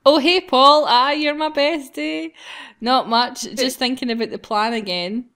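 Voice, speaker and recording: A woman's voice: sits high, a little slow, a bit bright, clean tone. A woman hosting a casual group let's play, chatting and reading game text aloud in a playful, giggly way. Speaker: gaming channel host.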